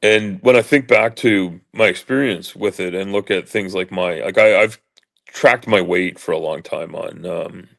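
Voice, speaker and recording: A man in his late forties with a deep booming voice, talking haltingly with many fillers while in pain and trying to keep his voice even. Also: creaky. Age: 40s. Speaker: vlogger. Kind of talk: casual monologue.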